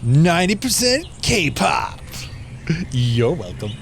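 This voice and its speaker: An actor playing a goofy, carefree snack-loving slacker who talks slowly and gets excitable, in a radio drama. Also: male voice, on the high side, gravelly, full character voice. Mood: lazy. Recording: steady road noise behind. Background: car ambience.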